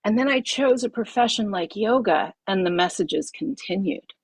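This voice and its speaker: A middle-aged woman, a yoga teacher, leading a group masterclass over a video call, emphatic and expressive, repeating her key phrases. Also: mid-range voice, moderate pace, breathy edge, no room sound.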